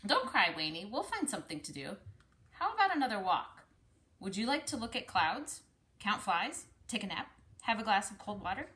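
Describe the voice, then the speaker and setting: A woman's voice: medium pitch, very animated, moderate pace, sharp tone. A woman voicing a storybook boy who coaxes and pleads with his little brother. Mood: coaxing. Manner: coaxing, pleading. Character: older brother as a boy, coaxing his sibling. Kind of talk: storybook character reading.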